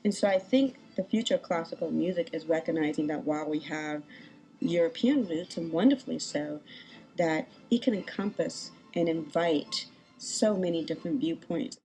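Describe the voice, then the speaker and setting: A woman composer from California, talking in an animated, reflective way in an interview clip. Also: deep voice, moderate pace, faint music behind, poor audio. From Berkeley, California. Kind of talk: interview talk.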